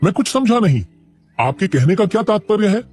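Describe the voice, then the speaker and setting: A man voicing a village priest in a cartoon who speaks in a proper, expressive way. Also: high-pitched, fairly quick. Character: proud middle-aged male village priest. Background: faint music.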